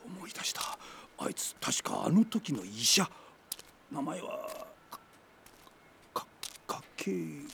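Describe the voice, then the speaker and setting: An actor playing an irritable, suspicious master of a household, blustering and muttering in an anime radio drama. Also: male voice, on the high side, big pitch swings, takes it slowly, creaky, pauses often, sharp tone, slight background noise, exaggerated voice. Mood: irritated, scheming.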